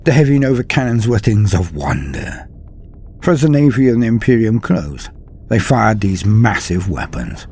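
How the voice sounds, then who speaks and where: A man reading dramatic scripted war fiction as a storyteller, in a grave, measured delivery with deliberate pauses and falling phrase endings. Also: lowish voice, very animated, darkish tone, breathy edge. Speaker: narrator of a fan-written war story. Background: music under the voice.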